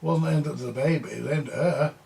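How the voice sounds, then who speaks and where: An older man with a rough, gravelly voice sitting a touch high for his age. He rambles through a casual monologue, grumbling, circling back and repeating himself, with the odd swear word thrown in. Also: quick pace, darkish tone. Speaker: vintage audio repairer.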